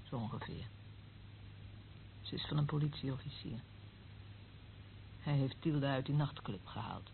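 An actress playing a scornful, prying woman, speaking in a hushed, dramatic way in a Dutch radio drama. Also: deep voice, creaky, exaggerated voice. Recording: dull, lo-fi old radio recording, faint noise.

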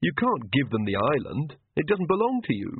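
A man's voice: fairly high pitch, wildly swooping pitch, moderate pace, husky, no echo, narrow, tinny audio, pitched above the performer's own voice, exaggerated voice. A man voicing a hesitant young woman, a witch queen who makes mild, uncertain protests. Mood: doubtful.

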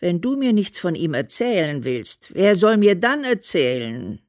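A woman's voice: on the low side, big pitch swings, measured pace, no echo, clean studio sound. An actress playing an affectionate, demanding elderly mother in a German radio play, emphatic and coaxing. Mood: impatient, curious. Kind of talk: German radio play, letter read as speech.

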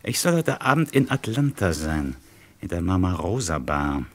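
An actor playing a terse, decisive smuggling conspirator in a vintage German radio crime drama. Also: male voice, deep voice, big pitch swings, moderate pace. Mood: reassuring, businesslike. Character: decisive male smuggling conspirator, alert, in command.

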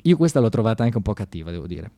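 A man doing a comic theatrical monologue in a conversational, halting and mock-serious way, often repeating himself. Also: on the low side, big pitch swings, mellow. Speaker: satirical stage monologist on radio.

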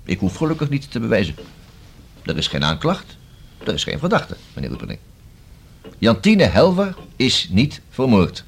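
A male actor in a Dutch radio play as a probing, self-assured police inspector who questions deliberately and dryly, with a husky voice. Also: mid-range voice, huge pitch swings, exaggerated voice. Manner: deliberate, rhetorical questioning with emphatic repeats.

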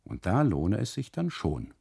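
A man playing an insistent, self-important male custodian in a radio monologue, speaking in a husky, gravelly, matter-of-fact way. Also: fairly low pitch, wildly swooping pitch, measured pace, a little mellow, full character voice.

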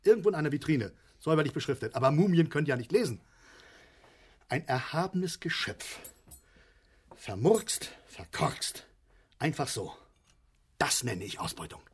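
An actor playing an excitable, scheming middle-aged father in a German satirical radio play, theatrical, rambling and insistent. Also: fairly high pitch, normal speed, long pauses, slightly rough. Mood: sarcastic ranting. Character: excitable, scheming, stubborn father arguing over a unicorn.

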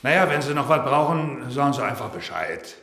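An actor playing a gruff, nosy Berlin apartment-house neighbour in a radio drama with echoey sound. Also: male voice, mid-range voice, big pitch swings, a little fast, fairly bright tone. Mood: polite welcome.

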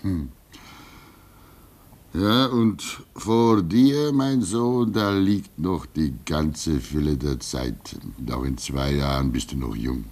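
Fatherly old hermit in a radio drama: low voice, very unhurried, gentle, resigned, reflective. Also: heavily acted. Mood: wistful. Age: older. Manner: fatherly, reflective, halting, swinging between emphasis and hush. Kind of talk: German radio play acting.

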